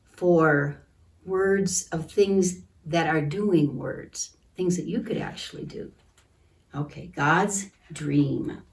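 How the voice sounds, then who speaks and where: A woman reading a picture book aloud to children in a gentle, deliberate manner, pausing to comment and ask questions. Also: fairly low pitch. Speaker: children's storytime reader at a church. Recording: distant microphone.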